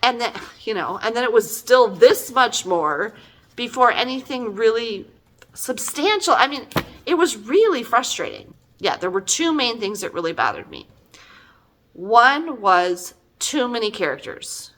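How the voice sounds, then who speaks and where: Middle-aged lady reviewing a book, high voice that swings all over, chatty and fed up. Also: sing-song, measured pace, fairly bright tone. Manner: exasperated, emphatic, conversational.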